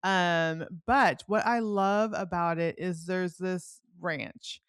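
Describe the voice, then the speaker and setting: A woman's voice: on the low side, steady tone, slow, smooth, no echo, studio quality. A middle-aged woman hosting a casual book interview, enthusiastic and chatty, often interrupting her own sentences as she rambles. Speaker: podcast host.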